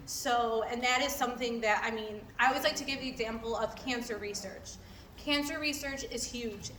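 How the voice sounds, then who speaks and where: Higher-voiced woman presenting in echoey room. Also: fairly bright tone. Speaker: clinical trial company representative. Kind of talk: informal public presentation. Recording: echoey room, distant mic, background noise.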